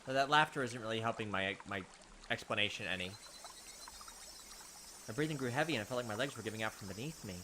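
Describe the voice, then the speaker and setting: Brief: quick-talking man reading a visual novel and joking about it. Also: on the low side, noisy background. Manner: animated, wry asides between narration. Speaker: let's play host. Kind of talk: reading game text aloud and commenting. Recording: game audio with loud cricket ambience.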